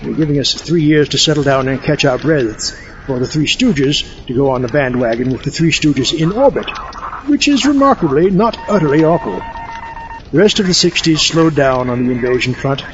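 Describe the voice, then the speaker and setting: A haughty, fussy mad-scientist doctor acted by a man in a comic audio play. His delivery is wordy and wry, fairly high and animated, on slightly muffled sound. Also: normal speed, a little hiss or hum, natural voice. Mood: wry, lecturing. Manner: pompous, wry, wordy, mock-erudite. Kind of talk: comic audio-drama monologue.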